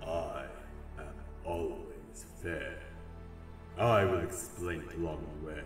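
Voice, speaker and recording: A giant grim reaper speaks very low and slowly, with formal, deadpan patience. The solemn voice booms through heavy echo over music in a comic fantasy radio play. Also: male voice, distant mic. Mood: dryly patient. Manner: slow, formal, deliberate, deadpan.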